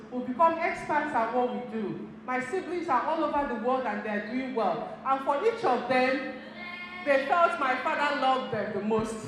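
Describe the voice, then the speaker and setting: A middle-aged Igbo woman, telling stories and speaking directly to a seminar audience, laughing often. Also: sits high. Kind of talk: motivational talk to an audience. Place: community center hall. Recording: very echoey hall with noise.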